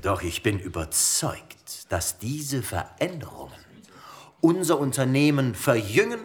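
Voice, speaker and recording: An actor playing a smug, slick new logistics boss who gives a pompous, jargon-heavy speech in a satirical radio play. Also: male voice, on the high side, fairly bright tone.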